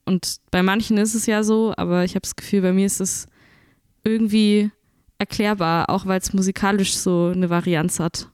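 Young bilingual woman, podcast guest; smooth clear voice, measured and searching, dry studio sound. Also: medium pitch, steady tone, a little mellow, no room sound. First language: Italian and German, bilingual. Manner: thoughtful, hesitant, searching for words. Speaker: musician guest. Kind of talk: casual podcast interview.

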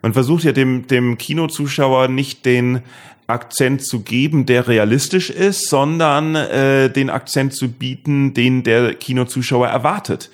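A man's voice: medium pitch, unhurried. A man from Germany hosting a podcast in casual chat, often repeating himself as he searches for words. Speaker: podcast host.

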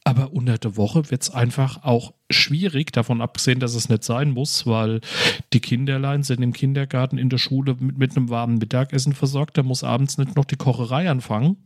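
A man's voice: medium pitch, steady tone, fairly quick. A man with a mid-range voice talks as co-host of a casual podcast. His delivery is colloquial and a little wry. The sound is very dry, with no room echo.

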